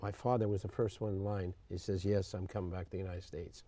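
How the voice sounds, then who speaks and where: Older Greek-born man, low dark voice. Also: level tone, no room sound. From Greece. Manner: halting, clears throat often, slow restarts. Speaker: retired coach, guest. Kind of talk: interview, recounting childhood.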